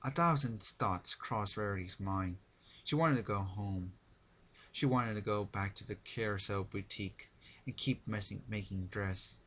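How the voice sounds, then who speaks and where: A man's voice: on the low side, measured pace, sharp tone, poor audio. A man narrating a dramatic reading of fan fiction, with some faint noise in the recording.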